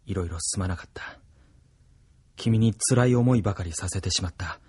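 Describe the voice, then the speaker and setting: Sorrowful soldier: low husky voice, gentle, close dry anime drama sound. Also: male voice, moderate pace, dry recording. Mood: sorrowful, tender. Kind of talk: intimate anime audio drama acting.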